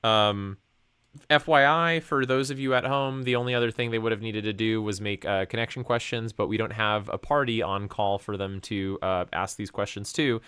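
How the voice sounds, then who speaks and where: Low-voiced man chatting casually on stream. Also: normal speed, bright, forceful tone, clean tone, dry recording. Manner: rambling, full of fillers and restarts. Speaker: streamer and host. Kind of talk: casual chat.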